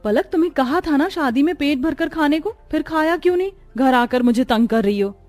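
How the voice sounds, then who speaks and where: A woman's voice: sits high, animated, a little fast, darkish tone, no echo, soft background music, voice pushed up. A woman voicing a sly, lazy, gossipy elder sister-in-law in a cartoon story, with a catty, gloating manner. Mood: scolding.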